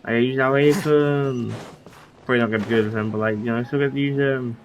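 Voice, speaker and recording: A man's voice: mid-range voice, steady tone, fairly quick, slight background noise. A man chatting casually while streaming a game, rambling with lots of fillers. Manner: rambling, filler-heavy speech with frequent "like". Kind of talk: casual gameplay chat.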